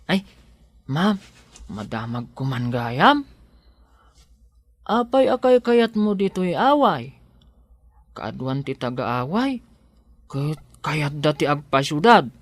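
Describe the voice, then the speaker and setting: Simple country farmhand man, slow pace, deferential, hesitant, kindly, Ilocano accent, radio drama. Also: sits low, very animated, no room sound, exaggerated voice. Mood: shy, polite. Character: simple, diligent, kindly rural farmhand man, eager, easily flustered.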